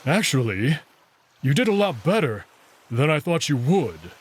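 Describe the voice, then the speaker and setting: A man's voice: a little high, very animated, a little slow, husky, heavily acted. A man voicing a big, proud, teasing young male wolf in a visual novel. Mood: matter-of-fact, friendly. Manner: teasing, confident. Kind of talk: visual novel character voice acting.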